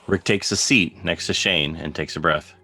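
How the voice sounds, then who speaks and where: A man with a husky voice narrating scripted scene directions for a dramatic table read. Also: low voice, moderate pace, bright, forceful tone. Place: remote video call read. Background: music under the voice.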